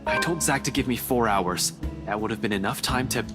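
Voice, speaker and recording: Male reader as terse horror-game investigator, guarded and insistent, mid-low everyday pitch, music underneath. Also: fairly quick, lightly acted. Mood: defensive. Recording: music bed behind the voice.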